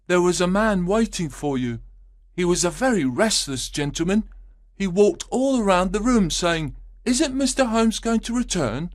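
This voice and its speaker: A man's voice: high-pitched, expressive, normal speed, pitched above the performer's own voice. A man voicing a polite, flustered young page boy in a storybook reading, with a raised, eager voice.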